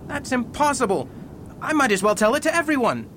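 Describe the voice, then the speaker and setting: A man's voice: extremely high pitch, sing-song, brisk, background noise. An actor playing a harried wealthy man under blackmail in a radio drama, pleading and anxious. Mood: desperate, resigned.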